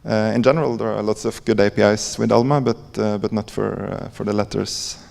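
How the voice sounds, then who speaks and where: A man with a Norwegian accent giving an informal conference presentation in a halting, hesitant way, with frequent pauses. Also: fairly low pitch, steady tone, vocal fry, a little mellow. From Norway. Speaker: conference presenter, library coder. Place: conference hall. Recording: echoey hall with long reverb.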